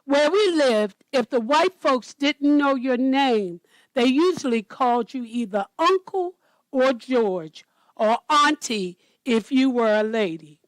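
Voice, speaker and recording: A woman storyteller reading a children's picture book aloud in a deliberate manner. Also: high-pitched, animated, no room sound, rough recording.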